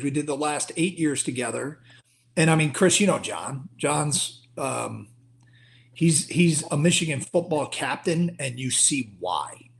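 Gravelly male sports broadcaster chatting. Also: mid-range voice. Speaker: sports broadcaster, podcast guest. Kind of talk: casual interview chat, anecdotes.

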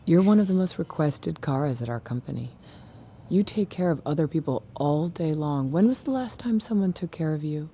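Cool composed boss lady, low dark voice, measured and polished, audio drama. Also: animated, slight background noise. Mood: soothing, controlled. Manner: measured, polished, coolly reassuring. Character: composed, controlled female company boss named Miranda. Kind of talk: naturalistic audio drama acting.

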